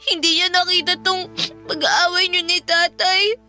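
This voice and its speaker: Very high-pitched distressed little girl. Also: flat delivery, pushed and bright, faint music behind, exaggerated voice. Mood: tearful. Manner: slow, tearful, pleading. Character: distressed young daughter, loyal to her parents. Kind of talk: Filipino radio drama acting.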